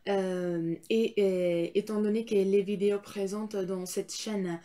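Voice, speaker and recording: Slow, hesitant Italian-accented young woman. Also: medium pitch, steady tone, mellow. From Italy. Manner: hesitant, many filler sounds while searching for words. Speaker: student vlogger. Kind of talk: educational talk to camera. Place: small room indoors. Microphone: camera or phone in front, framed from below.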